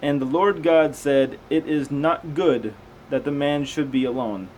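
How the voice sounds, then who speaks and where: A man's voice: a little high, measured pace, darkish tone, slight background noise, poor audio. A man reading scripture aloud, stumbling over unfamiliar words and dropping in casual, conversational comments.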